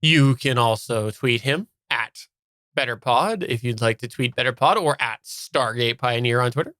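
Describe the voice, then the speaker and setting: A man's voice: mid-range voice, moderate pace, sharp tone, no echo, studio quality. A man hosting a casual live podcast chat, putting questions and addressing the listeners informally. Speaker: podcast host asking questions.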